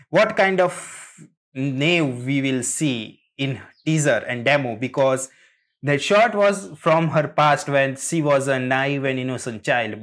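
A man casually reacting to game trailers, speaking in a hesitant, halting way. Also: mid-range voice, fairly bright tone. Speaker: reaction video host.

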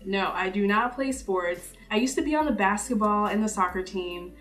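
A woman's voice: mid-range voice, normal speed, fairly bright tone, far from the mic, faint music behind. A woman in her twenties talking casually to camera in a get-to-know-me vlog, upbeat and chatty with lots of "like" fillers. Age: 20s. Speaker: YouTuber. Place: indoor room, seated before purple backdrop.

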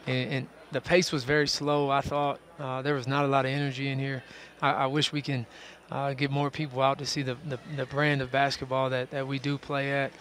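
Flat-voiced male coach on a headset. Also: mid-range voice, a little fast, a little hiss or hum. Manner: flat, steady, repeats words often. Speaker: head basketball coach. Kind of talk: post-game interview. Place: arena courtside seats. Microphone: headset boom mic.

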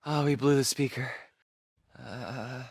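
A man's voice: medium pitch, fairly even intonation, slow, gravelly, breathy edge, no echo, clean studio sound, exaggerated voice. A young American man playing a nervous, geeky, wry camp counselor in a video game, with a hesitant, stammering way of talking. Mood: anxious. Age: young adult. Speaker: video game character actor. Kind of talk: naturalistic video game dialogue.

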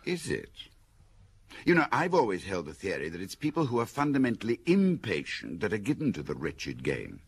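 Deep husky British gentleman friend. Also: wildly swooping pitch, normal speed, heavily acted. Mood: teasing, reflective. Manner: teasing, literate, conversational. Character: Georges, teasing, thoughtful old friend of the detective. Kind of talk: British radio drama acting.

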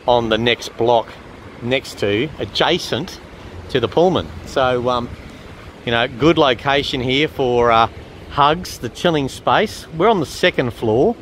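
A man giving casual, unhurried walk-around commentary as a travel vlogger, chatting about what he observes. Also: mid-range voice, a bit bright. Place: walking around an open-air shopping mall. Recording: outdoor urban and traffic noise.

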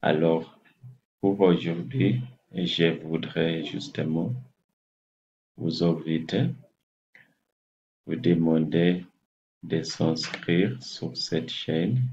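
A man in his forties with a Kenyan English accent, a native English speaker, speaking slowly and haltingly to a webcam in a small room as he searches for words. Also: low-pitched, darkish tone. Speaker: health channel host. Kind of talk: casual address to camera. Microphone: laptop webcam at desk distance. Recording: muffled lo-fi webcam audio.

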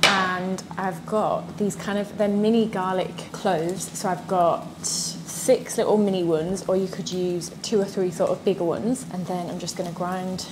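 Chatty, bubbly woman in her twenties, lowish voice, noisy kitchen; cooking vlog host. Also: fairly even intonation, unhurried. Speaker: fitness and cooking creator. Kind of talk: casual cooking demonstration. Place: bright home kitchen indoors.

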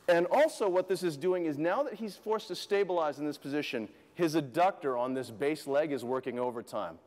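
A man of medium pitch explains a rehab exercise while a partner demonstrates, walking through each cue step by step. His voice carries a long reverb from the clinic room around him. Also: darkish tone. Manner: explanatory, walks through posture cues step by step. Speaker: fitness and rehab instructor. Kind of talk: demonstration lesson to camera.

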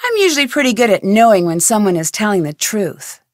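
An actress playing an inquisitive, persistent young woman detective in an adventure game, with clear, earnest, questioning delivery. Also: mid-range voice, sing-song, a little fast, dry recording, studio quality, lightly acted. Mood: firm, frustrated. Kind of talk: scripted adventure game voice lines.

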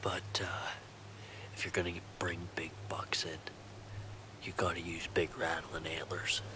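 A young man with a stuffed-up voice from a cold, whispering softly to a close camera outdoors in the woods. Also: deep voice, level tone, noisy background. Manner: hushed whisper, quiet and slow. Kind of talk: whispered hunting commentary to camera. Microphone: camera held close to the face.

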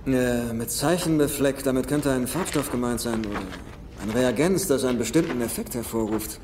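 A man's voice: mid-range voice, background noise, close to an everyday voice. A man acting in a film dub as a brainy, principled treasure hunter who thinks aloud. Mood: excited deduction. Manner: thinking aloud, halting then excited. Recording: long hall reverb under film music.

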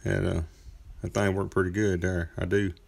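Gravelly deep-voiced laid-back man. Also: flat delivery, moderate pace, darkish tone. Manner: laid-back, folksy, rambling with fillers. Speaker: chainsaw carver. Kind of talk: casual workshop narration. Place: outdoor driveway workspace.

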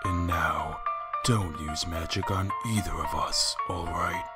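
A man voicing a weary skeleton father in a comic dub, slow, deliberate and exasperated with his baby son. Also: deep voice, fairly even intonation. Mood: patient. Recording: loud music behind the voice.

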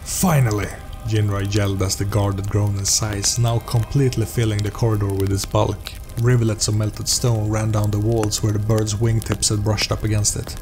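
A man narrates like an audiobook reader. His voice sits low and keeps to a narrow, even range. Music plays clearly behind him. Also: normal speed, hardly any pauses. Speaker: narrator and host of a role-playing story series. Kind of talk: audiobook-style scripted narration.

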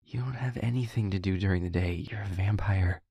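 A man playing a sincere, slightly nervous vampire in an audio roleplay, halting and wry with his friend. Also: low-pitched, mellow, slightly rough, dry recording. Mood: wry, amused. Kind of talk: intimate audio roleplay acting.

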